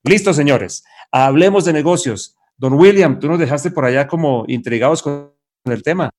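A man's voice: on the high side, normal speed, darkish tone. A man hosting a business podcast, upbeat and encouraging as he introduces segments. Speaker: podcast host.

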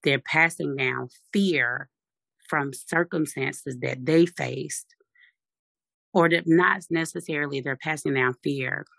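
A deep, raspy voice with a gravelly edge and a bright, forceful tone. The speaker holds each point firmly and assertively in a relaxed conversation. The recording is dry and close. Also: slow, dry recording. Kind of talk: casual podcast discussion.

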